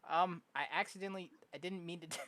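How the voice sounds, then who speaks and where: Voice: mid-range voice, steady tone, fast, very noisy. A game streamer chatting casually in a slangy, joking, reactive way and reading narration aloud. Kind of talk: casual let's play chat with read-aloud narration. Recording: game music behind the voice. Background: game music.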